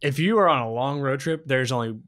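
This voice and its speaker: A man's voice: medium pitch, lively intonation, a little fast, fairly bright tone. A man in his twenties talking casually as a podcast co-host, debating in a dry, joking way on a close microphone. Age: 20s. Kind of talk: casual chat, friendly debate. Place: small room indoors. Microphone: podcast microphone on a stand, with headphones.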